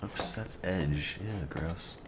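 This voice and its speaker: A man's voice: deep voice, slow pace, heavy background noise. A young adult man streaming and answering his chat in a dry, rambling, offhand way. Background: music playing.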